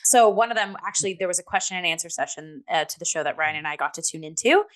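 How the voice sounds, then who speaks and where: A woman in a casual review discussion, hosting and talking in an animated, emphatic way with many filler "likes". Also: on the low side, fast talker. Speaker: theatre review show host.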